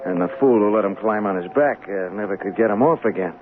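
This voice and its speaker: An actor playing a haunted young hustler in a seventies radio drama, streetwise, slangy and stammering. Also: male voice, on the low side, quick pace, noisy background, close to an everyday voice. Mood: bitter, agitated. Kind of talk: 1970s radio drama acting. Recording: muffled old radio broadcast with music.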